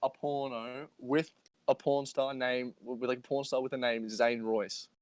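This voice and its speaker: Crisp-voiced young man rambling casually. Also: mid-range voice, level tone, a little slow, no echo. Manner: rambling, repeating himself. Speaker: podcast co-host. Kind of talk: casual joking group chat.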